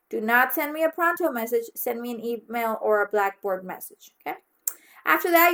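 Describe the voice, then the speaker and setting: A woman's voice: high-pitched, a bit bright. A woman from Mexico, a native Spanish speaker with a Mexican accent, giving an instructive online course orientation as a college teacher and often checking in as she goes. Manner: instructive, frequently checks understanding with "okay?".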